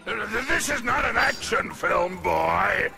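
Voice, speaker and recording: An actor playing a big, scheming crime boss in a video game, pompous, theatrical and volatile. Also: male voice, sits high, expressive, takes it slowly, vocal fry, music in the background, full character voice. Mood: outraged. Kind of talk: video game cutscene voice acting.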